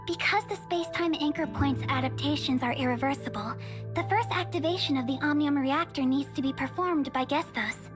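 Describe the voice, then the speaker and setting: A woman voicing a young female researcher in an anime-style game, excitable and easily flustered, speaking over music. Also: high-pitched, brisk, natural voice. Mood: earnest, explaining.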